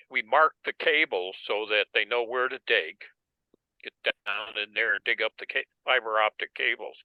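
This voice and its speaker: An American man explaining things informally on a group call, halting and a little wry. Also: mid-range voice, no room sound. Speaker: meeting participant. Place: online video or teleconference. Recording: dull, lo-fi conference call audio.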